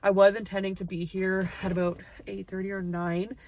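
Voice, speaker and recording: A woman's voice: level tone, a little fast, darkish tone, a little hiss or hum. Chatty young woman with a stuffed-up nose. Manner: chatty, self-deprecating, talks in a high sweet voice to her dog. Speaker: vlogger. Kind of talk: casual vlog chat. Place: parked car, then walking outdoors on a ravine trail.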